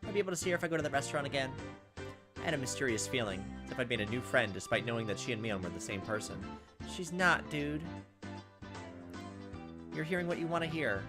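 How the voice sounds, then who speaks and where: Fast-talking man narrating a visual novel. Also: medium pitch, lively intonation. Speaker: gameplay host. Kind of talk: visual novel read-aloud with casual commentary. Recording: loud game music behind.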